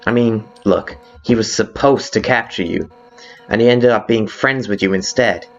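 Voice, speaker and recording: A man's voice: lowish voice, normal speed, muffled recording, natural voice. A man voicing a brash, hot-headed female monster guard captain with blunt, informal delivery in a video game fan dub. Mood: earnest. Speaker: character voice actor in a fan dub. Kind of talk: dramatic video game dialogue. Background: background music.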